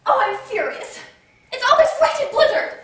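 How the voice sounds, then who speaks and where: A woman's voice: high-pitched, very animated, brisk. A woman acting the part of an imperious, snobbish society hostess and mother in a stage comedy, melodramatic and easily enraged. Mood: furious. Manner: melodramatic, indignant, commanding. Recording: echoey room, distant noisy mic.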